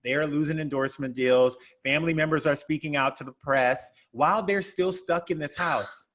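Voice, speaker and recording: A man talks as a guest on a podcast in a slightly higher register. He is emphatic and repeats words to drive his points home in casual conversation. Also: normal speed, a bit bright. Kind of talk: casual chat.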